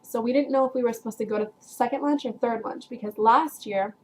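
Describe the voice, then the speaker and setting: A woman's voice: fairly high pitch, a little fast, a little mellow, slight background noise, rough recording. A teenage girl casually telling a long story to her viewers, rambling with lots of filler words and breaking into laughs. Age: teens. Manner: chatty, rambling, heavy use of "like" and "um"; frequent laughs while telling. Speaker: vlogger.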